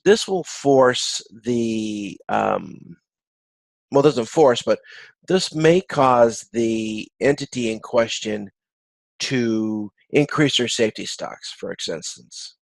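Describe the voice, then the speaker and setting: Man, creaky voice, halting explanatory delivery, instructor lecturing informally, dry close sound. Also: mid-range voice, lively intonation, no room sound. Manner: halting, explanatory, often rephrasing mid-sentence. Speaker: course instructor. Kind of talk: informal recorded video-conference lecture with slides.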